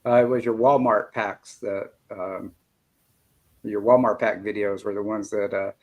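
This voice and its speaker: A man's voice: fairly low pitch, fairly even intonation, a little slow, a little mellow, muffled recording. A man about to turn fifty, hosting a casual interview with friendly, joking asides. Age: 40s. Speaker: interview host.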